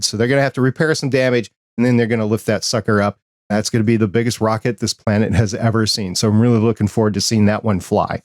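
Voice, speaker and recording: A man's voice: mid-range voice, darkish tone, no echo, clean studio sound. A man hosting a hobby podcast, chatting in an enthusiastic, conversational way. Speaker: podcast host. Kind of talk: casual podcast chat and news roundup.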